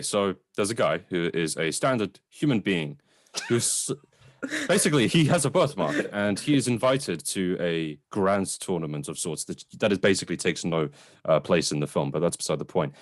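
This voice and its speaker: A man's voice: low voice, animated, fairly quick, dry recording, clean studio sound. A man hosting a podcast, chatting casually and enthusiastically about a film in an informal way. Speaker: podcast host. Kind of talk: casual film review chat.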